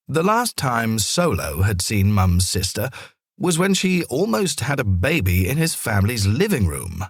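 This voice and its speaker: Male narrator for storybook fiction: lowish, husky voice, animated melody, dry close sound. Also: dry recording. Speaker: storyteller, audiobook reader.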